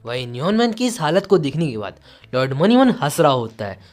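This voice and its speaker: A man delivers a dramatic scripted story recap. His voice sits a little high and moves with very lively intonation, over soft music. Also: fairly quick. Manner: animated storytelling, voicing quoted dialogue. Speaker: manga recap narrator. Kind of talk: dramatic scripted story narration in Hindi with some English words.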